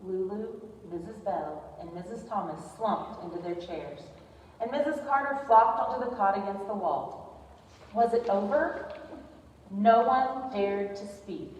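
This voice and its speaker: A woman's voice: mid-range voice, a little slow, darkish tone. A woman reading scripted narration for a readers theater performance. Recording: distant, echoey hall with faint noise.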